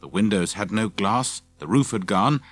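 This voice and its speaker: Guy narrating a kids adventure audiobook, lowish voice, pitch goes all over. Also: lively intonation, normal speed, fairly bright tone. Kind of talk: scripted children's story narration.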